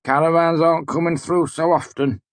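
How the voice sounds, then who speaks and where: Man doing a weary mercenary, sour grumbling, slightly high, gravelly. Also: flat delivery, normal speed, dry recording, natural voice.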